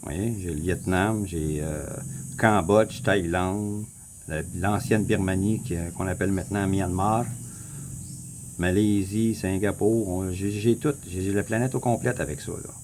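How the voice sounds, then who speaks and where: Slow, deep-voiced Québécois man. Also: steady tone, noisy background. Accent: Québécois French. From Quebec. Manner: rambling, repeats himself, clears throat often. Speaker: vlogger. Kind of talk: casual screen walkthrough. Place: home in the Philippines.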